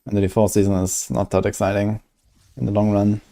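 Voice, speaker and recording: Low-voiced man musing over a game. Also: little pitch movement, brisk, vocal fry, mellow. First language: German. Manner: musing, calculating aloud. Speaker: streamer. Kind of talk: casual gameplay commentary.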